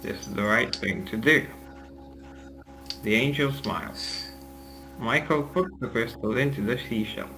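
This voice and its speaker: A man's voice: mid-range voice, measured pace, long pauses, sharp tone, low-quality recording. A man reading scripted narration aloud as the narrator of a table read, with music playing behind him.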